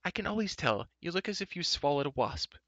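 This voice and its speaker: A man voicing a lively, outspoken young woman who chatters and teases. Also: fairly high pitch, brisk, no echo, muffled recording, pitched above the performer's own voice. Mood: teasing.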